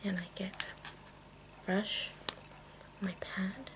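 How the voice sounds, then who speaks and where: A woman hosting an ASMR video in a breathy whisper, chatting casually and playfully as she shows off her things. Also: mid-range voice, level tone, drawn-out words, low-quality recording. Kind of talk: whispered ASMR, casual show-and-tell of makeup tools.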